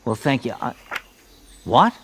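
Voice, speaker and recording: A man playing a bumbling, persistent detective in a comic radio drama, haltingly repeating words as he puzzles them out. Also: medium pitch, very animated, a little hiss or hum. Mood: bemused. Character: bumbling, persistent American detective struggling with Portuguese.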